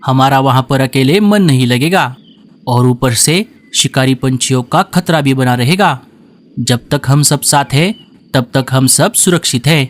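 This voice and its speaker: A man voicing a gentle male crow in a cartoon, speaking in a calm, reasoning tone. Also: mid-range voice, measured pace, close to an everyday voice.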